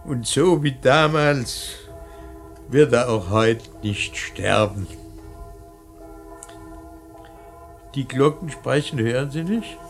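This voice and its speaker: Classic radio drama: elderly grieving painter, slow, halting, declamatory delivery, gravelly and husky, solemn and intense. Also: male voice, mid-range voice, faint music behind. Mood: exalted, mournful. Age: older. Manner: declamatory, halting, repeating words. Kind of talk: classic German radio play acting.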